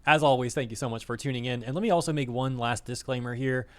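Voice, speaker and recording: A man rants in casual commentary, scornful and full of fillers, with his words tumbling out in quick bursts. His voice is slightly hoarse, as if he is losing it. Underneath, the tone stays smooth and resonant. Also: medium pitch, fairly bright tone. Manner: scornful, filler-heavy, talks fast in bursts. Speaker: vtuber commentator.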